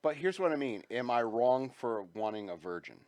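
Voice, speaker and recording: A man in his forties co-hosting a crude casual talk show, rambling through stories and mimicking people in a high whiny voice. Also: big pitch swings, normal speed, a little mellow, dry recording. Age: 40s. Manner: rambling storyteller, acts out quotes in a high whiny voice. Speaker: podcast co-host.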